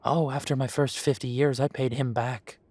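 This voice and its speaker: A man playing a genial, long-lived elven café owner who reminisces in an unhurried, chatty way, in a roleplay audio drama. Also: mid-range voice, fairly even intonation, darkish tone, no echo, lightly acted. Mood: genial, reminiscing. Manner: unhurried, musing, conversational with pauses.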